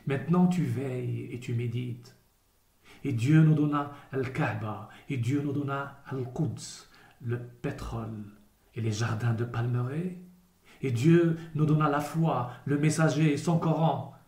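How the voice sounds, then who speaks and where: Guy reading a poem really slowly, solemn and measured, mellow voice in an echoey room. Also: mid-range voice. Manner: measured, declamatory phrasing with deliberate pauses. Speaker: poetry reader. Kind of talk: solemn literary poetry recitation. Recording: roomy echo around the voice.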